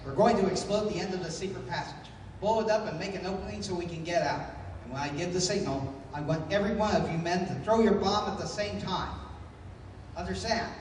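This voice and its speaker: An actor playing a calm, commanding heroic adventurer in a 1930s-style radio serial. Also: male voice, high-pitched, fairly quick, a little hiss or hum, lightly acted. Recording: distant echoey hall, music underneath.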